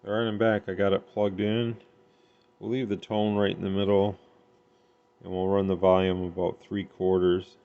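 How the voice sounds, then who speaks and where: A man's voice: deep voice, flat delivery, normal speed, smooth. A man giving a casual hands-on gear demonstration, thinking aloud in an unpolished, tentative way. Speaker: CB radio hobbyist reviewing gear. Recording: dull lo-fi home recording, faint noise.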